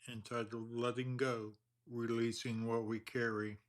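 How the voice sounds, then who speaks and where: A man leading a guided meditation, speaking slowly and calmly with long pauses. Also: mid-range voice. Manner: slow, calm, measured delivery with long pauses.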